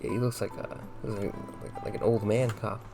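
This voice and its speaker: A young man giving casual, halting, offhand commentary as he plays a game, often repeating his words. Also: on the low side, flat delivery, music in the background. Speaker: gaming streamer. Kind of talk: casual let's-play commentary.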